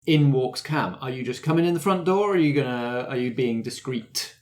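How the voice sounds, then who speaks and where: A man's voice: mid-range voice, animated, brisk. Man running a tabletop game. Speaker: game master. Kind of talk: casual narration of a tabletop game.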